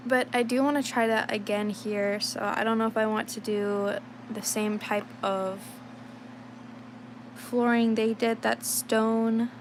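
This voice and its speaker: Young woman, sick, a little raspy, rambling casual streamer chat, faint noise behind. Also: fairly high pitch, little pitch movement, measured pace. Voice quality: slight rasp. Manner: rambling, thinks aloud, many fillers. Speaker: livestreaming digital artist.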